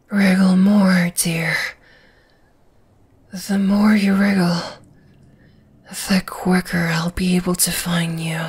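A woman playing a dominating, flesh-eating spider monster in an ASMR roleplay, whispering in a breathy, teasing, menacing way. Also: on the low side, heavily acted. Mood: predatory, teasing. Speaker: voice actor.

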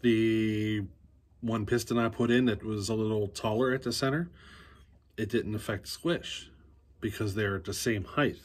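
Guy in his 40s, husky lowish voice, relaxed shop teacher vibe, close phone mic in a basement workshop. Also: measured pace. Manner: easygoing, thinking aloud with fillers and restarts. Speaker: chainsaw repair teacher. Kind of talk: casual workshop how-to.

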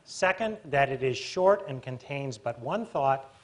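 A man's voice: mid-range voice, animated, a little slow. A middle-aged man moderating audience questions at a forum in an orderly, lightly humorous way. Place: auditorium stage, indoors.

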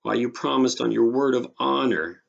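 A man's voice: medium pitch, normal speed, vocal fry, dull audio, pitched above the performer's own voice. A man playing an anxious, innocent little boy. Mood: hurt, pleading. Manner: pleading, trembling earnestness. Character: innocent, anxious eight-year-old boy, pleading.

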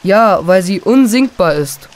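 A performer playing a confident, boastful, excitable boy in a children's radio play. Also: medium pitch, unhurried, lightly acted. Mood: cocky. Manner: cocky, dismissive, eager.

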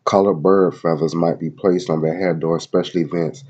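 Male host, congested, husky, mellow tone; casual read-aloud with slang asides. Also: deep voice, fairly even intonation, moderate pace, highs cut off. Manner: loose slangy asides between read passages, many fillers. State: congested from a head cold. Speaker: video host reading a website aloud. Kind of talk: casual screen-recorded reading and commentary. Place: at home in Florida.

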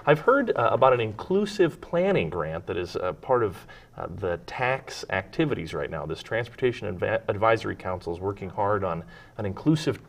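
A man's voice: lowish voice, animated, brisk, gravelly. A young man hosting a studio interview on a lapel mic, upbeat and encouraging. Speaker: interview host. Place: small TV studio set, seated.

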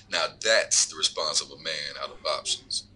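A very low, hard-edged, forceful voice of a man acting as a corrupt lawman in an audio drama. He is smug and taunting, leaning on his informant with cool, profane threats. Faint noise sits behind him. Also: exaggerated voice, rough recording. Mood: cold, taunting. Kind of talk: scripted audio drama dialogue.

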